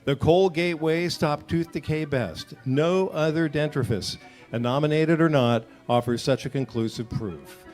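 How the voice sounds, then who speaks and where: A man's voice: on the high side, a little slow, a little mellow, soft background music. A man reading a scripted advertisement as an announcer in 1940s radio style. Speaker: commercial announcer.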